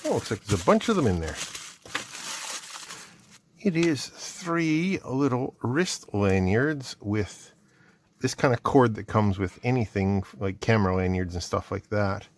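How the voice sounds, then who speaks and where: A man's voice: on the low side, animated, measured pace. A middle-aged man from Canada casually chatting as he opens and tries out items from his mail, thinking aloud as he goes. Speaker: vlogger opening mail. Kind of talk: casual unboxing chat.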